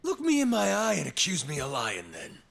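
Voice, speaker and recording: Middle-aged yakuza boss, high gravelly voice, theatrical swagger, teasing, video game cutscene. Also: male voice, huge pitch swings, normal speed, fairly bright tone, full character voice. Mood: brash, needling. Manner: swaggering, teasing, swooping delivery. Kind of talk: English-dubbed video game cutscene acting.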